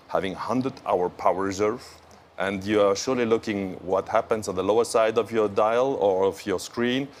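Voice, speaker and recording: Low-voiced man presenting luxury watches. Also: a little hiss or hum. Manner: careful, admiring, pauses between phrases. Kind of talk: live show product walkthrough.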